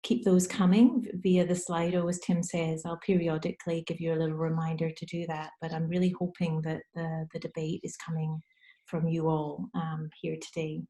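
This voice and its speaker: A woman moderating an online panel, speaking in a measured way with pauses mid-phrase. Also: deep voice, flat delivery, warm tone. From Scotland or Denmark by descent, living in Australia. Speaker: panel moderator, arts manager. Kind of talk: event introduction and moderation.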